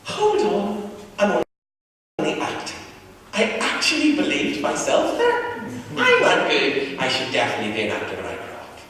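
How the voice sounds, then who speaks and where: A man playing a cheeky, dreamy young schoolboy who narrates his own story on stage, launching into high theatrical wails. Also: wildly swooping pitch, moderate pace, a bit bright, slight background noise. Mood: melodramatic. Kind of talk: one-man stage monologue. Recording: distant, echoey hall recording with faint ambience.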